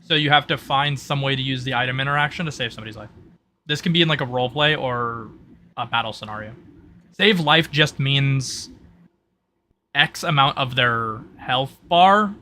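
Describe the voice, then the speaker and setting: A man working as game master, explaining tabletop roleplay rules in a halting way, thinking aloud. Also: medium pitch, sharp tone, a little hiss or hum.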